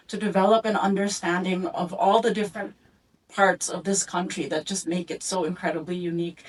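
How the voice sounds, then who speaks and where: A middle-aged woman tells her story in a slow, reflective way, with many pauses and ums. Her voice sits low. The mic is some way off, so she sounds distant. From Philippines and Indonesia, raised abroad. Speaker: community speaker presenting her family story. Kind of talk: storytelling talk with photo slides.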